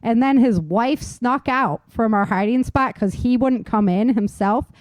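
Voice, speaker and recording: A woman's voice: fairly high pitch, unhurried, darkish tone, no room sound. A woman hosting a talk show in casual chat, telling things informally with slangy emphasis and repetition. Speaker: talk show host, author. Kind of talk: casual chat on survival tips.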